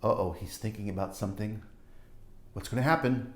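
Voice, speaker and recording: A woman's voice: rumbling low, a little fast. A woman reading a picture book aloud to children as a storytime teacher, playful and dramatic, with chatty asides. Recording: roomy echo.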